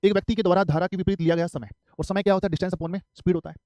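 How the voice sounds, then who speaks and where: Male math teacher from Haryana in his thirties, slightly high, close and dry, drills every step. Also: steady tone, rapid-fire, darkish tone, dry recording. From Haryana, India. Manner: repetitive, asks and answers his own questions. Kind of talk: live online exam-prep math class.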